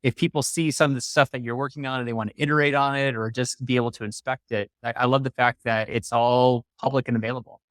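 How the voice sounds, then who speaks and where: A man's voice: medium pitch, steady tone, fast talker, few pauses, fairly bright tone, dry recording. A man hosting an interview in an upbeat, effusive and encouraging conversational way. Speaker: podcast host.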